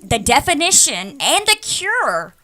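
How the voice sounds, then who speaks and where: A woman's voice: high-pitched, very animated, a little slow, a crowd in the background. A woman chatting casually as a radio panelist, plain-spoken and colloquial. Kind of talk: casual chat. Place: radio studio.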